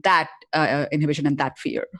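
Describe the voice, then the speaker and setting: A woman's voice: low voice, fairly even intonation, brisk. A woman hosting a live stream, chatty and upbeat, with frequent fillers. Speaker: host. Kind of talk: live-stream host chat.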